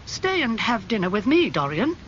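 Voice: mid-range voice, sing-song, moderate pace, fairly bright tone, lo-fi sound, a little hiss or hum, voice pushed up. A reader doing an earnest, wounded painter.

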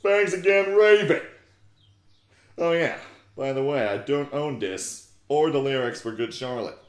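A man in his twenties giving a theatrical, mock-serious reading of fan fiction, deliberate in his delivery. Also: mid-range voice, reverberant. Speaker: narrator, YouTube host. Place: small living room, indoors. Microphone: desktop USB microphone close by.

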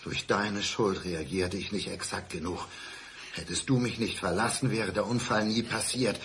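An actor playing a calm, embittered, menacing ex-husband in a radio crime play, speaking with measured, mock-gentle politeness. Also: male voice, fairly low pitch, background noise, natural voice. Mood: bitter, brooding. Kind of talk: 1990s German radio crime play acting.

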